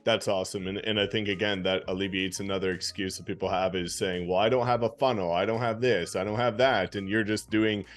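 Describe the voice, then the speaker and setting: Fast-talking encouraging male host. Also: lowish voice, steady tone. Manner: fluent, encouraging, rapid questions. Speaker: podcast host. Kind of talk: interview questions.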